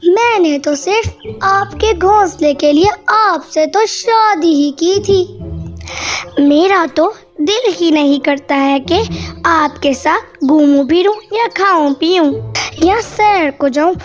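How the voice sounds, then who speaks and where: A haughty young cartoon bird wife, acted by a woman, speaks in an extremely high voice. She scolds slowly and dismissively, like a spoiled, lazy spouse, with music playing underneath. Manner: nagging, dismissive. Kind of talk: Hindi cartoon voice acting.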